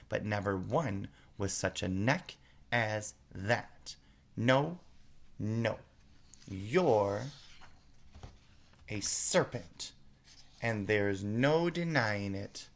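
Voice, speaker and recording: Man voicing an indignant, fretful mother pigeon, drawn-out words. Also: fairly low pitch, vocal fry. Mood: contemptuous. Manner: exasperated, dramatic complaining. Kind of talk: storybook read-aloud character voice.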